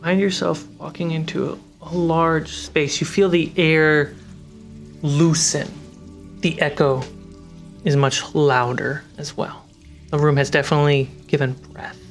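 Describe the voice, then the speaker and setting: A game master narrating a tabletop fantasy game in halting, descriptive phrases. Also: medium pitch, steady tone. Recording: music and rain sounds behind. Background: music, rain effects.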